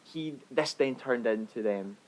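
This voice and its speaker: A man's voice: mid-range voice, measured pace, rough recording. A man chatting casually as a podcast co-host, explaining his opinions on films. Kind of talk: casual film review chat.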